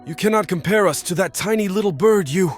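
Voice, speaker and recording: A man's voice: high-pitched, brisk, natural voice. A man playing a pompous, condescending owl politician with a menacing streak in an audio play. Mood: angry. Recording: music behind the voice.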